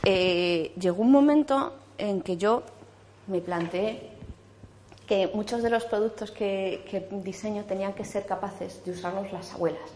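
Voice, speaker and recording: A woman's voice: medium pitch, unhurried, a little mellow, echoey room, slight background noise. A woman in her forties with a Spanish accent, giving a casual conference talk, chatty with many fillers and restarts. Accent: Spanish from Zaragoza. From Spain. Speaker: conference speaker. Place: conference hall.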